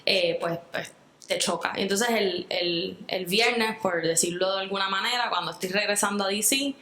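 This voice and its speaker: A woman's voice: medium pitch, normal speed, pushed and bright, reverberant, slight background noise. A Puerto Rican woman speaking earnestly in an interview, reflecting at length with fillers and restarts. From Puerto Rico. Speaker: guest. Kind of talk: interview conversation.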